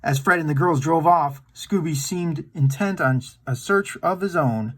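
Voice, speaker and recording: A man reading a children's storybook aloud in an animated storytime style. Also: fairly high pitch, a little slow.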